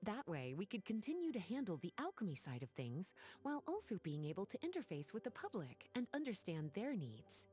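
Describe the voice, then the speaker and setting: An actress playing a poised, teasing, scholarly sorceress in a video game, coy and polished. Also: female voice, medium pitch, huge pitch swings, brisk, few pauses, warm tone. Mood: coy, confident. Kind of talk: video game character acting. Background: game music.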